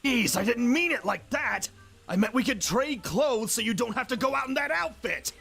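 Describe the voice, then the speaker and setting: An actor voicing a flustered young character in an animated show. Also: male voice, very high voice, moderate pace, vocal fry, breathy edge, faint music behind, exaggerated voice.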